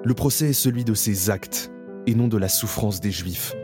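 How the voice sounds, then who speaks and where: Male narrator, middle-aged, lowish voice, measured documentary delivery. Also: over music. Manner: measured, solemn reading.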